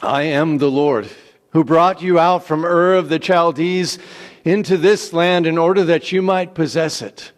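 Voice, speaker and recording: A man's voice: on the high side, unhurried, big-room sound, voice pushed up, lightly acted. A man voicing God in a dramatic scripture reading, solemn, authoritative and emphatic in his declarations. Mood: solemn promise. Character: God, solemn authoritative male giving promises and commands.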